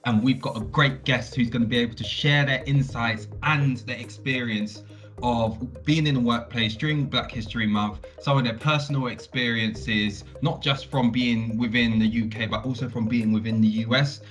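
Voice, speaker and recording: A man's voice: medium pitch, steady tone, normal speed, fairly bright tone, reverberant, soft background music. A man hosting a podcast, upbeat and chatty, often restarting and rambling a little as he introduces the episode. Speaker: podcast host.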